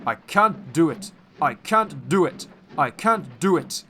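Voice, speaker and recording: Guy doing a grumbly boastful train engine for a kids storybook, huffy, kinda high and swoopy. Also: animated, slow pace, gravelly, bright, forceful tone, a little hiss or hum, full character voice. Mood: straining. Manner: huffy, emphatic, puffing complaints. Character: grumbling, boastful male steam engine, proud and complaining.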